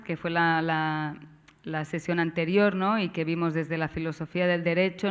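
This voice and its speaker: Woman, Catalan accent, seminar organizer, smooth clear voice, halting delivery, frequent tag questions. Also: fairly low pitch, lo-fi sound. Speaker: course organizer and moderator. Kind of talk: seminar introduction.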